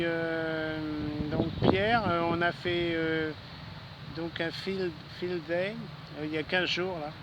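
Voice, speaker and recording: A man chatting casually and a little hesitantly as an amateur radio operator in a public garden. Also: high-pitched. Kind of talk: casual radio contact chat. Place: outdoors in a city public garden. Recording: noisy outdoor city ambience. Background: urban outdoor noise, traffic.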